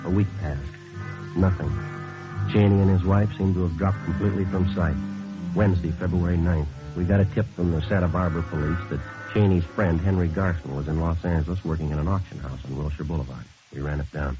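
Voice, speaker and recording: Low-voiced deadpan radio detective played by an actor. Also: male voice, a little fast, close to an everyday voice. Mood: deadpan, businesslike. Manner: deadpan, matter-of-fact. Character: terse, businesslike male police detective sergeant narrating his case. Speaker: lead detective and narrator. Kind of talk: 1950s radio crime drama, clipped narration. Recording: muffled old radio recording, music behind.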